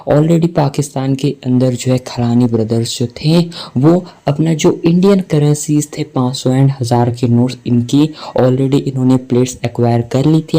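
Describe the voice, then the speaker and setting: Indian guy breaking down a movie youtube style, really mellow dark voice, mid pitch, drops english words in. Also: low-quality recording. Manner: wordy explainer mixing English words into sentences. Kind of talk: film breakdown commentary.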